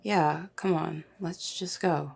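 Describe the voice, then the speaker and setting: A woman playing a guarded, confused young assistant in an audio drama, speaking bluntly and casually in a hushed way. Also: deep voice, fairly even intonation, takes it slowly, gravelly, husky, soft background music, exaggerated voice. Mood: wary, hushed.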